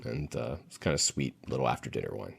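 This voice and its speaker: A man hosting a casual panel chat, speaking in a rambling, halting way as he thinks aloud. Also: a bass voice, expressive, creaky, breathy edge. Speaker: podcast host.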